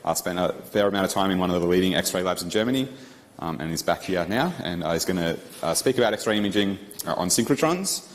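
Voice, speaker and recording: A man, a university lecturer making class announcements and introducing a guest, halting with many ums and uhs. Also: deep voice, animated. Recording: echoey lecture hall, distant mic.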